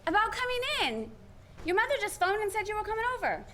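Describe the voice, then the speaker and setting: A woman's voice: very high-pitched, sing-song, fast. A woman playing a spirited young sweetheart, sweet but pointed and direct, in a 1940s-style radio play. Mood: pert, inviting. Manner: pert, direct questioning.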